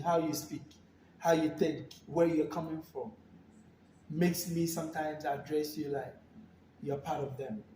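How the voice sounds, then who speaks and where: A Ghanaian man talking casually and thoughtfully, pausing and repeating words, recorded on a phone from a distance in a room. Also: fairly high pitch, mellow, roomy sound, slight background noise. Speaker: guest. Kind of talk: casual conversation.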